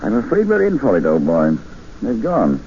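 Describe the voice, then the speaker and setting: Deep, mellow clipped doctor, old dull radio sound. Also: male voice, wildly swooping pitch, normal speed, a little hiss or hum. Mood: grim. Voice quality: clipped, matter-of-fact. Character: brisk, unflappable older English expedition doctor. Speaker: expedition leader, the doctor. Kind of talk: 1950s radio drama acting.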